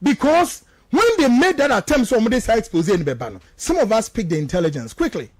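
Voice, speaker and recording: High-pitched, animated Ghanaian man, emphatic. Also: fairly quick, dry recording, studio quality. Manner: emphatic, accusatory, repeats phrases for stress. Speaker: political commentator on a radio show. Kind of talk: impassioned talk radio commentary mixing two languages.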